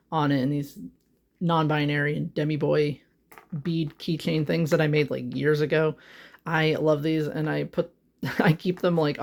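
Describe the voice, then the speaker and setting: Young adult vlogger, home office, handheld phone. Loose, rambling and self-conscious, heavy on fillers, with a gravelly fry. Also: medium pitch, darkish tone. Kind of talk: casual chat. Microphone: phone held in hand.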